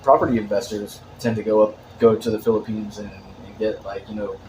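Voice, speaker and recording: A man's voice: on the low side, level tone, fairly quick, background noise. A man in casual chat, talking in a rambling way with lots of "like" and restarts.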